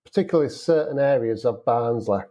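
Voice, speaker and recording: A man's voice: mid-range voice, animated, a little fast, warm tone. Chatty Yorkshireman with a broad accent. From Yorkshire, England. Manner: broad dialect, chatty, self-mocking storyteller. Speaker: wedding photographer guest. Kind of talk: casual podcast chat.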